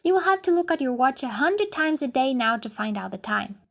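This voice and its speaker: A woman's voice: high-pitched, very animated, fairly quick, gravelly, exaggerated voice. A woman voicing an anxious, affectionate young wife in a storybook reading, pleading and emotional in manner. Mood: eager.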